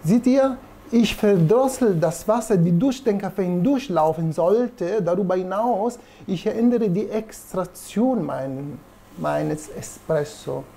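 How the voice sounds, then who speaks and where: A man in his forties with an Italian accent, a native Italian speaker, giving a casual, halting, self-deprecating demonstration in a gravelly voice. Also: high-pitched, animated, warm tone. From Italy. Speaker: presenter demonstrating espresso machines. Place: small indoor coffee showroom. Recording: noticeable background room noise.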